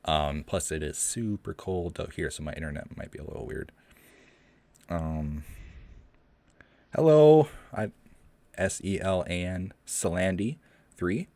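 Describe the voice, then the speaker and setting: A young man with a deep voice hosts a casual live Q&A, rambling with many ums and restarts, and his voice shakes a little from the cold. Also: measured pace, pauses often, mellow, dry recording. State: shaky voice from the cold. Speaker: streamer hosting a live Q&A. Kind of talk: casual livestream chat. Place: cold room at home. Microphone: home streaming mic.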